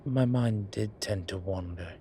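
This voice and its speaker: A man playing a polite, flirtatious incubus demon in an intimate roleplay audio drama, with hesitant, formal phrasing and a softness that turns breathy at times. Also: fairly low pitch, a little slow, slight background noise, close to an everyday voice. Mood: flirtatious, teasing. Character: courteous, flirtatious male incubus demon, restrained and apologetic.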